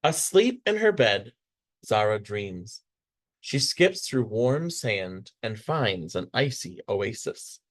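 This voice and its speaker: A man reading a children's picture book aloud with expressive, dramatic storytelling delivery. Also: lowish voice, slow. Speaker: storybook reader.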